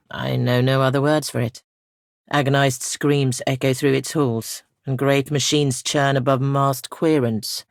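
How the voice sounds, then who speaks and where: Casting: ancient female ghost guardian for a fantasy game. Soft, heavily creaky, solemn and weary, formal diction, very close dry sound. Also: rumbling low, no echo, full character voice. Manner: solemn, measured, archaic formal phrasing. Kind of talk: video game character dialogue.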